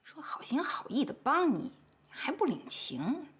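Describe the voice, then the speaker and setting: Brash, piercing older sister. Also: female voice, sits high, sing-song, measured pace, fairly bright tone, whispered, full character voice. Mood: scolding. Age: late 30s. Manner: combative, indignant. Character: brash, stubborn, scheming older sister, quick to take offence. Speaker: actor in a family TV drama. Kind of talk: naturalistic TV drama acting. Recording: faint background music.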